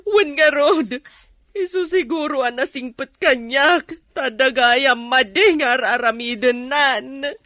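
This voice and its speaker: A woman's voice: sits high, slow, a bit bright, no echo, studio quality. A woman playing a heartbroken, apologetic Filipino wife confiding in a friend in a radio drama. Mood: tearful, hurt.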